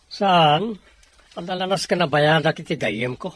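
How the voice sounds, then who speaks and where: A woman's voice: sits low, unhurried, no room sound. A woman playing a pushy, spendthrift younger sibling in a radio drama, talking in an insistent manner. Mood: demanding. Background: background music.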